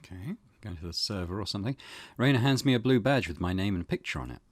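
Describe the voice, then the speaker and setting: A man's voice: fairly low pitch, expressive, quick pace, dry recording. A man hosting a let's play, reading game text aloud and commenting in an informal, offhand way. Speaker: gaming host.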